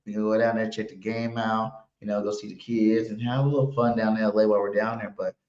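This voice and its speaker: A man from Los Angeles co-hosting a sports podcast, chatting casually in a slangy way with loud, hyped bursts. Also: fairly low pitch, flat delivery, fast talker. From Los Angeles, California. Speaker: podcast co-host. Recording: roomy, dull sound.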